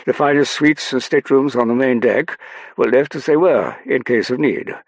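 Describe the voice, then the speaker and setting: A self-assured young Englishman of the upper classes, acted by a male narrator, breezy and courteous as a storybook official. His voice is lifted and projected, with a little gravel in it and a close, dry sound. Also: mid-range voice, normal speed, no echo, pitched above the performer's own voice, natural voice. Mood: breezy, chatty.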